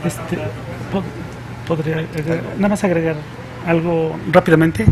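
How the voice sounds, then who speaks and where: A man on a conference panel with a slightly raised pitch. He is soft-spoken and moves between casual remarks and reading a written passage aloud. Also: little pitch movement, normal speed, noisy background. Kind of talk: academic panel discussion. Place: conference hall.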